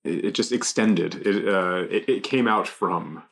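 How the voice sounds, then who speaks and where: A man casually explaining English vocabulary off the cuff, pausing to think and offering loose examples. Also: a bit bright. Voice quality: gravelly, low. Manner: halting, thinking aloud with examples. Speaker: guest explaining English words. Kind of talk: casual unscripted word explanations.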